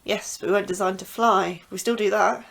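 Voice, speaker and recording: A woman's voice: mid-range voice, steady tone, a little fast, gravelly, a bit bright. A woman playing an anxious, questioning astrobiologist in a sci-fi horror audio drama. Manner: earnest and questioning, a little anxious.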